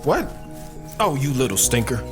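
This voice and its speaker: A man's voice: mid-range voice, very animated, normal speed, close to an everyday voice. An actor playing a protective soldier father who talks patiently and earnestly to his daughter in an audio drama. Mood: playful. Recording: music behind the voice.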